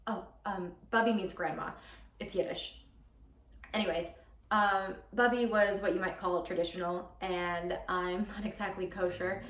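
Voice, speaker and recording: A woman's voice: lowish voice, steady tone, normal speed, fairly bright tone, close to an everyday voice. A woman playing an earnest, nervous young girlfriend who rambles and corrects herself in a theatrical monologue. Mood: nervous, chatty. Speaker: actor performing a monologue. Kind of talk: theatrical comic-dramatic monologue. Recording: roomy, distant mic with background hiss.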